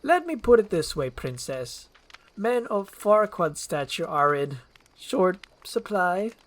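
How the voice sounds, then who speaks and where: Casting: woman to play male ogre, reluctant rescuer, exasperated, dry sarcasm, animated delivery for a storybook comedy. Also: fairly low pitch, slow pace. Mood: dry, sardonic.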